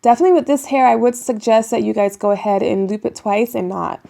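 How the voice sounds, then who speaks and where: A young woman with a raspy voice from a cold, chatting casually to viewers while demonstrating a hair tutorial at home. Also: on the high side, quick pace, warm tone. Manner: chatty, addresses viewers as you guys, many ums. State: raspy voice getting over a cold. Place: bedroom at home, indoors.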